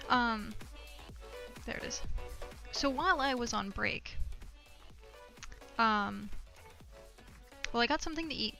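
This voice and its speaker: A high-pitched woman with a noticeably creaky edge chats casually on a livestream. She rambles and thinks out loud, with music playing behind her. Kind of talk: casual chat to stream viewers. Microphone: streaming microphone.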